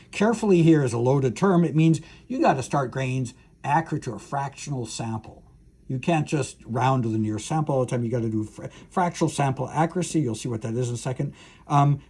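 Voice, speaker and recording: Halting male lecturer, slightly husky voice. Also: mid-range voice, darkish tone. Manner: halting, thinking aloud with frequent filled pauses and restarts. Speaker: university professor, lecturer. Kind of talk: technical lecture, explaining a diagram.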